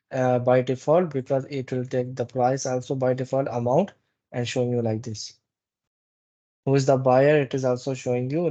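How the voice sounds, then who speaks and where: A man giving a live software training walkthrough in a halting, instructive way, often repeating phrases. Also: medium pitch. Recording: dull, muffled lo-fi meeting recording.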